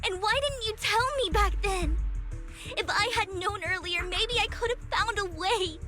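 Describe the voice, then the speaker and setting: A woman voicing a gentle, soft-hearted girl in an anime-style video game, earnest and pleading. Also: extremely high pitch, a little slow, over loud music. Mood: hurt, questioning.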